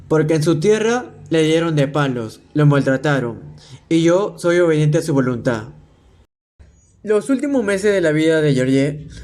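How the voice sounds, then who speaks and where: A man narrates a biography from a script for a student radio drama. His voice is a little higher than most men's. He reads aloud with an occasional stumble. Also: darkish tone.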